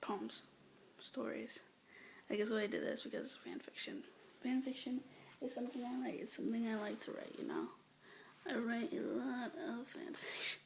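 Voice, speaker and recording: A young woman whispering love poems aloud with hesitant, rambling asides between them, breathy and soft, close to a phone or webcam mic. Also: a little high, very animated, moderate pace, slight background noise. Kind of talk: whispered poetry reading, ASMR-like.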